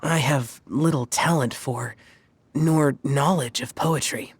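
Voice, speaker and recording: An actor playing a reserved, practical young wanderer in a video game, measured and understated with a melancholy air. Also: mid-range voice, very noisy, lightly acted. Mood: wistful.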